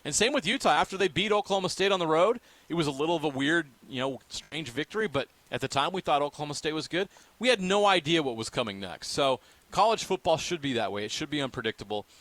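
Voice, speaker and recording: Bright, forceful American sports talk man. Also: a little high, expressive, fast talker, no echo. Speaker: sports radio co-host.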